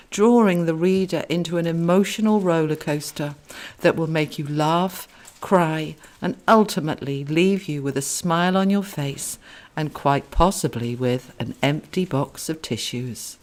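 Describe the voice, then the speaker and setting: A woman reads as an author presenting her own audiobook. Her voice sits a little low as she narrates the story. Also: a little mellow. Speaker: author reading own book.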